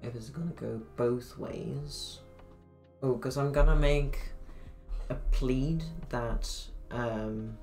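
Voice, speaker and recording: A young woman with a Dutch accent streaming from her bedroom, thinking aloud in halting speech full of fillers as she works on a sewing pattern. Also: extremely low pitch, darkish tone. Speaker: cosplay streamer. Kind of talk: casual livestream chat while pattern making. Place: small bedroom at home.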